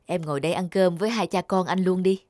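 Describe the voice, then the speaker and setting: A woman voicing a gentle, patient, ageing village father in a cartoon, soothing in manner. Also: on the low side, fairly even intonation, quick pace, close to an everyday voice. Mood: reassuring. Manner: soothing, reassuring. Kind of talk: cartoon voice acting.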